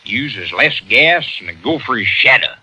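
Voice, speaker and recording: An actor playing a folksy, cheerful cowboy sheriff with an American Western accent in a 1950s radio commercial. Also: male voice, medium pitch, big pitch swings, a little slow, bright, forceful tone, husky, exaggerated voice. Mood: happy boasting. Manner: drawling, full of cowboy sayings. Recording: dull vintage radio recording.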